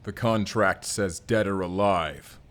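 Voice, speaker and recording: Low-voiced, stoic barbarian warrior played by a man. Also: slow, fairly bright tone. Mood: resolute. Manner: formal, terse, avoids contractions. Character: stoic, solemn barbarian warrior, loyal cyberpunk operative. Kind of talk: first-person audio roleplay acting.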